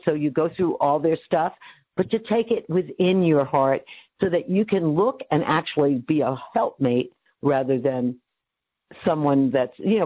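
A woman in her seventies from New York City, with a low, bassy voice, talking loosely as an interview guest, full of fillers and laughs. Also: slightly rough, no echo. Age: 70s. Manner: rambling, with many fillers and frequent laughs. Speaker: guest, radio network founder. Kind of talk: casual interview over the phone. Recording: tinny phone line, dry.

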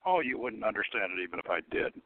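A man's voice: on the low side, big pitch swings, quick pace, voice pushed down. A man voicing a defiant young male prisoner in a dramatic novel reading, with a wry, stubborn, muttering delivery. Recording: narrowband radio-like sound, dry.

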